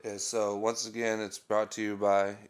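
Relaxed man talking up his album. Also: lowish voice, moderate pace, clean tone. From Oregon. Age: 30s. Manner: loose, profane, hype talk. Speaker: rapper promoting his album. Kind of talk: casual podcast chat. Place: home recording studio.

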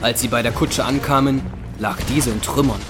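A man's voice: mid-range voice, normal speed. A man delivering scripted dramatic narration for an audio drama. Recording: music underneath.

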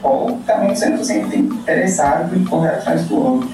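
A man's voice: a little high, lively intonation, a little fast, warm tone, soft background music. Colombian man, echoey and distant. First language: Spanish. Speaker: guest. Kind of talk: casual podcast chat.

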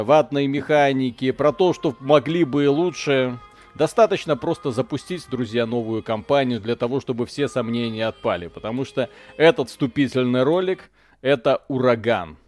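A man's voice: mid-range voice, moderate pace, a little mellow, faint music behind. A man hosting a live video game stream, chatting casually in slangy, joking language with mild expletives.

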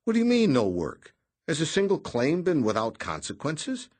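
Man voicing a plump bald bureaucrat, high-pitched, cheerful, evasive, lively. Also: moderate pace. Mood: protesting. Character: jolly plump bald middle-aged male bureaucrat.

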